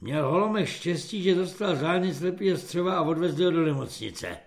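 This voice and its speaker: A man reading aloud voices a loud, boastful army lance corporal who bullies recruits. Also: on the high side, expressive, vocal fry. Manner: shouting, boastful, crude.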